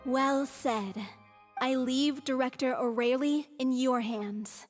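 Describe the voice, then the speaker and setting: An actress playing a poised, courteous interviewer in a video game, with a polite, formal delivery. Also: female voice, high voice, steady tone, slow, faint music behind. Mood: courteous, earnest.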